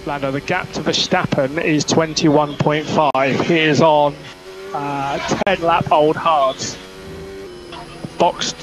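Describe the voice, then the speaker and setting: A man's voice: on the high side, fairly even intonation, slow, music in the background. A man working as a race engineer, giving terse, clipped technical instructions over team radio. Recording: noisy motorsport team radio.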